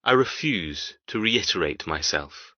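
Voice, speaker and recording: A man's voice: deep voice, animated, measured pace, pushed and bright, no room sound, exaggerated voice. A man playing a cold, controlling, arrogant young man in an audiobook romance, speaking in a clipped, formal, commanding way. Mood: cold, refusing. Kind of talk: audiobook character dialogue. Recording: dull, muffled, dry recording.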